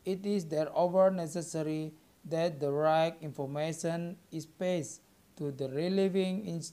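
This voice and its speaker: Young indonesian guy reading out his class presentation, real slow and halting, soft mellow tone. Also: on the high side, clear, resonant tone. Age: young adult. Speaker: maritime student presenting. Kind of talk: read-aloud scripted presentation.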